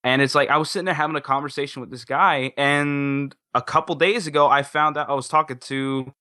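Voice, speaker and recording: Bright-voiced young man chatting enthusiastically. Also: fairly high pitch, fairly even intonation, a little fast, no room sound, studio quality. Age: young adult. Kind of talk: casual enthusiastic chat.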